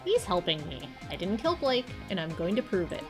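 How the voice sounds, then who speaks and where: A woman's voice: fairly low pitch, sing-song, brisk, music in the background. A woman voicing a determined, dryly spoken young female murder suspect in a video game.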